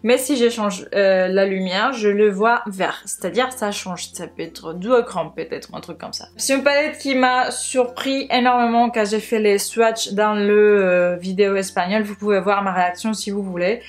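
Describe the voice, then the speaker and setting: A woman's voice: mid-range voice, normal speed, few pauses. Spanish-accented woman chatting casually. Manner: searches for words in a second language. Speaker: beauty vlogger. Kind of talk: casual product haul chat to viewers.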